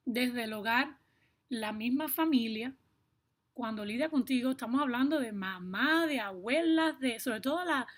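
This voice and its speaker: A woman with a Cuban accent explaining things to viewers in a personal, emphatic way, stressing points with deliberate repetition. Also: a little high. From Cuba. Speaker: video blogger.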